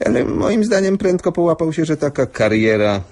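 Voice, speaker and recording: An actor playing a senior doctor in a radio drama, answering in a measured, hesitant and sceptical way. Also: male voice, on the high side, sing-song, a little mellow. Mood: measured, guarded.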